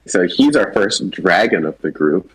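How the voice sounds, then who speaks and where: A man's voice: sits low, animated, a little slow, a crowd in the background, low-quality recording. A man chatting casually as a co-host, with a joking, wry manner. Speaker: co-host presenting an animated series project's characters. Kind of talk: casual chat.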